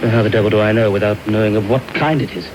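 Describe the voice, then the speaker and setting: An actor playing a menacing, volatile, secretive villain with a British accent in a 1990s radio drama. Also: male voice, on the low side, steady tone, racing, lightly acted. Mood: impatient, suspicious. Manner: threatening, volatile, sudden outbursts. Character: menacing, secretive villainous man, violent-tempered, impatient schemer. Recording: very noisy medium-wave radio recording.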